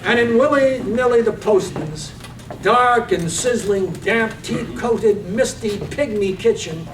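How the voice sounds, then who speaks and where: An actor playing the narrator of a poetic village radio drama, measured and deliberate. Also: male voice. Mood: measured, descriptive. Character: narrator of a village radio play, relishing words. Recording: echoey room, distant mic, faint rumble.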